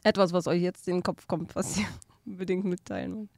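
A woman's voice: fairly low pitch, fairly even intonation, fairly quick, gravelly, darkish tone, dry recording. Chatty woman interviewer, dry close sound. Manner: chatty, laughs often, switches between German and English. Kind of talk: friendly interview questions.

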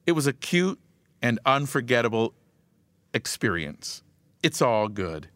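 A man preaching a scripted devotional message in a measured, emphatic way, leaning on rhythmic repetition. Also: mid-range voice, sing-song, a bit bright, dry recording.

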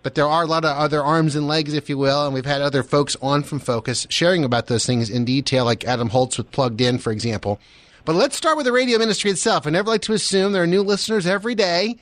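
A man with a fairly high voice hosts a radio interview, close on the mic with a very dry sound. His delivery is casual and chatty, like easy conversation. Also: fast, fairly bright tone, no room sound. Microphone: close studio radio microphone.

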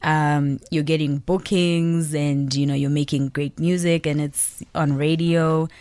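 A young woman from Zambia, a musician guest on a radio interview, answering in a measured, articulate way. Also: low-pitched, flat delivery, darkish tone, no echo.

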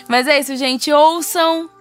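A woman's voice: high voice, fairly even intonation, takes it slowly, faint music behind. A Brazilian woman hosting a podcast in a conversational style, effusive and encouraging. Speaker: podcast host.

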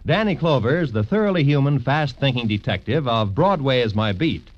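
1940s network announcer, American man, mid-pitched, very animated, polished delivery, dulled vintage sound. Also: brisk. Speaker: radio announcer. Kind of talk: 1940s scripted radio announcing. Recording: muffled vintage radio recording.

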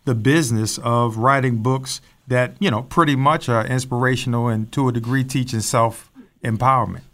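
Measured male co-host introducing a guest. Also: medium pitch, fairly even intonation, a bit bright. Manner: measured, formal introductions with fillers. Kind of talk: talk show hosting.

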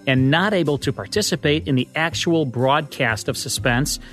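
A man's voice: a little high, moderate pace, a bit bright. Man narrating scripted radio history over music. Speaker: podcast co-host.

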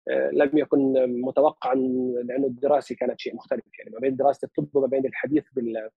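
Man, Palestinian accent from Lebanon, interview guest, very fast speech, dry dull recording. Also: medium pitch, breathy edge, no room sound. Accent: Palestinian Arabic as spoken in Lebanon. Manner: measured, formal, many hesitations. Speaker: guest, physician and analyst. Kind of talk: interview conversation.

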